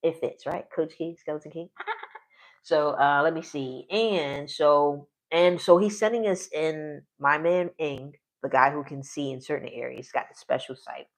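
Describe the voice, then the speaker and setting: A man's voice: on the high side, measured pace, vocal fry. A man in his forties casually narrating his solo tabletop game on a live stream, rambling, trailing off mid-sentence and laughing often. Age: 40s.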